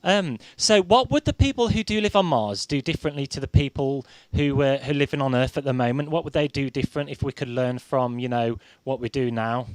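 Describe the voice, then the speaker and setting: A man's voice: medium pitch, brisk, few pauses, no room sound, low-quality recording. A man conducting a radio interview, hesitant and often stammering over his words.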